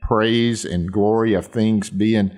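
Earnest low-voiced male radio host. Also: steady tone, slow pace, darkish tone. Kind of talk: reflective devotional talk.

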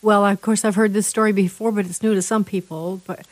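A woman hosting an interview show, chatting with her guest in a halting way, full of restarts. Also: medium pitch, steady tone, no room sound. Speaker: interview host.

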